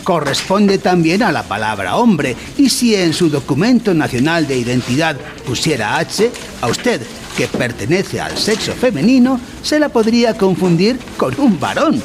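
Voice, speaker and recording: A pompous male lecturer in a mock educational radio play. His voice sits a little high and rises and falls in animated swings while staying deadpan and didactic. Clear background noise runs under it. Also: moderate pace. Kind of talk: scripted satirical radio drama narration.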